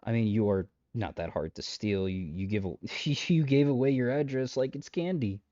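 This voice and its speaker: A man's voice: medium pitch, moderate pace, dark tone, no echo, dull audio, close to an everyday voice. A man playing an exasperated, chatty matchmaker in a comedic roleplay audio drama, talking straight to the listener with wry, rambling asides and dry understatement. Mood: wry, amused. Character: exasperated, chatty male matchmaker peddling possessive lovestruck suitors.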